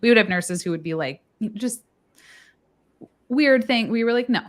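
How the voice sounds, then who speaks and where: A woman who is a charge nurse, hosting a casual panel chat with fellow nurses, animated and quick to laugh. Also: fairly high pitch. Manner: animated, laughs often, fillers.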